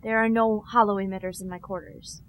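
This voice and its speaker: A woman playing an earnest, sharp starship security lieutenant in an audio drama, serious and direct. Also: mid-range voice, steady tone, brisk, slight background noise, natural voice. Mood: wary.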